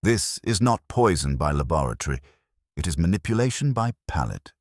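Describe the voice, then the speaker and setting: A man voicing an imperious, cerebral detective in an audiobook, speaking formally and deliberately. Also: deep voice, big pitch swings, no room sound, studio quality, exaggerated voice.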